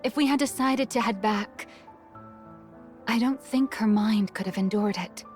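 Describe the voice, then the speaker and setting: A woman's voice: a little high, unhurried, a little mellow, soft background music, natural voice. An actress playing a grave, sorrowful female doctor in a video game, somber and restrained. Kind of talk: subdued game cutscene acting.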